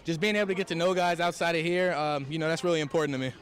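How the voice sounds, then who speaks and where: A man's voice: a little high, fairly even intonation, racing. A young man, a college football quarterback, answering questions in a press interview. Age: young adult.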